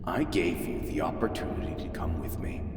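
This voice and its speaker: A man's voice: sits low, expressive, fairly quick, background noise. A man playing a bitter, haughty immortal god in a fantasy audio roleplay, speaking with theatrical disdain through a distant, echoing reverb. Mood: bitter scorn.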